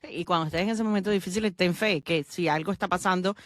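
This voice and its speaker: Fast-talking woman podcast host. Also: lowish voice, no echo, clean studio sound. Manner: quick, animated, acts out quoted speech. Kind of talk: conversational interview.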